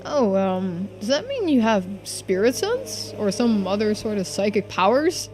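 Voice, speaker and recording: A woman's voice: medium pitch, big pitch swings, measured pace, over music. A woman voicing a casual, sceptical police investigator in a video game, informal and a little hesitant. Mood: curious, wry. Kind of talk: video game dialogue read-through.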